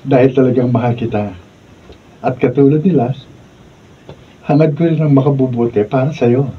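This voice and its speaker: Heard through a muffled, noisy recording, a man acts an earnest young Filipino suitor in a radio drama. His mid-pitched voice is sincere and devoted, coming from a little way off the microphone. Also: fairly even intonation, normal speed, far from the mic, lightly acted. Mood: tender. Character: devoted, earnest young boyfriend planning marriage.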